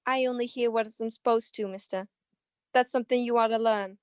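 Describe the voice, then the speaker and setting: A woman's voice: fairly high pitch, fairly even intonation, brisk, smooth, dry recording, clean studio sound, lightly acted. A woman playing a guarded gambling-joint henchman in a radio crime drama, terse, slangy and dismissive. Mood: brusque, dismissive.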